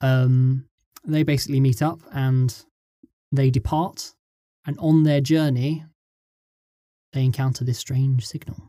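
A man being interviewed as a script writer, explaining his work casually in short, halting pieces. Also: mid-range voice, flat delivery, warm tone, no room sound, studio quality.

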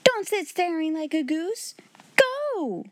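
A woman's voice: very high-pitched, very animated, slow, no echo, pitched above the performer's own voice, heavily acted. A woman voicing a stern, furious schoolmistress from a storybook, speaking in a fierce, commanding and bitterly ironic way. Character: stern, furious, hard-hearted middle-aged schoolmistress. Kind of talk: storybook character voice.

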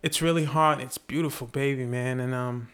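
A man's voice: mid-range voice, steady tone, normal speed, a bit bright. Earnest man from Haiti telling stories. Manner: earnest storytelling, swears casually. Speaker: podcast guest. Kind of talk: casual interview conversation.

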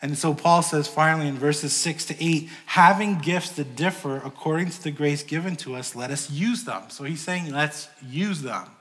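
A man's voice: on the high side, normal speed, hardly any pauses, a bit bright. A man preaching a sermon to his church in a conversational way, rising and dropping in volume. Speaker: pastor. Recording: long hall reverb.

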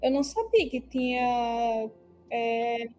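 A young woman, co-hosting a casual podcast chat with quick interjections and comments. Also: a little high, a little mellow, faint music behind. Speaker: co-host.

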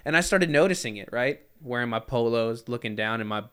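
A man in his twenties in casual podcast chat, animated and self-mocking, swearing and saying "dude" a lot. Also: mid-range voice, brisk, clean tone. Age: 20s.

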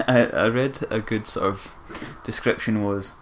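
A man's voice: fairly low pitch, fairly even intonation, unhurried, slight background noise. A man co-hosting a casual film review chat, making dry quips and laughing easily. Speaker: podcast co-host.

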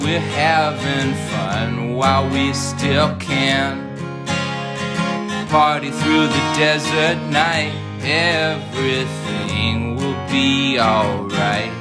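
A woman singing a satirical protest song. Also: rumbling low. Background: plucked guitar music.

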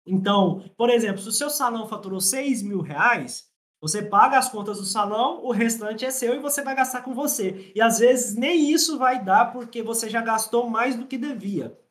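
A man's voice: extremely high pitch, moderate pace, a bit bright. A man from Minas Gerais with a mild Mineiro accent, a podcast guest telling stories and explaining salon finances, repeating his points for emphasis. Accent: mild Mineiro Brazilian Portuguese. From Minas Gerais, Brazil. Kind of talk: casual podcast conversation, explaining.

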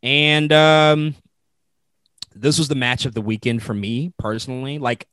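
A man co-hosting a casual wrestling chat, laid-back and thoughtful, often pausing on "um". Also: mid-range voice, smooth, no echo. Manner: relaxed, thoughtful, with fillers. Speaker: wrestling podcast co-host.

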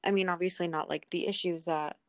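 A woman's voice: fairly low pitch, fairly even intonation, fairly quick, dry recording. Young woman chatting casually, dry sound. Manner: agreeable, rambling, frequent "like". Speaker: podcast co-host. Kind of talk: casual chat.

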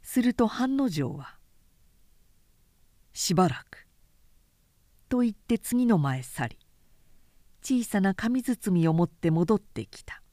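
A woman narrating a literary audiobook in a measured, deliberate storytelling manner. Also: medium pitch, very animated, mellow, no echo, clean studio sound.

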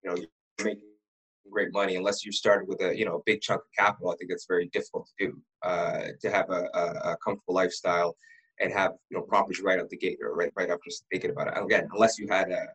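A man's voice: sits low, level tone, fast, a bit bright, husky, low-quality recording. A man from Ottawa, a real estate broker who is a podcast guest, telling his backstory in a rambling way with many restarts. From Ottawa, Canada. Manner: rambling storyteller who often restarts words. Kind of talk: casual podcast interview.

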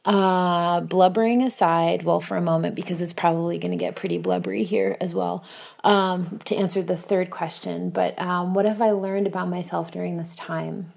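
A woman's voice: on the low side, normal speed, gravelly, darkish tone. An American woman speaking in a casual, rambling, self-deprecating monologue recorded at home. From Bayonne, New Jersey, United States. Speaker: podcast guest contributor. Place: home kitchen.

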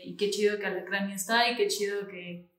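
A woman co-hosting a casual podcast, relaxed and full of fillers. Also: mid-range voice, a little fast. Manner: casual, many fillers and affirmations. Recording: echoey room.

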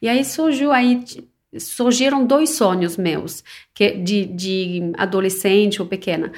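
A woman's voice: mid-range voice, slow pace. A woman from the south of France with a French accent, speaking Portuguese as a guest in a casual interview, thoughtful and searching for words. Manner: reflective, thoughtful, with restarts and self-corrections. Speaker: podcast guest, personal development coach. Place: São Paulo.

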